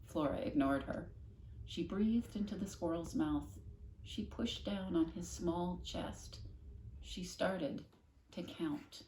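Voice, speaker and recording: A woman reading a children's novel aloud expressively, with dramatic, deliberate emphasis. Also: on the low side, warm tone. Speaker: storybook reader, classroom host. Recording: echoey room, faint noise.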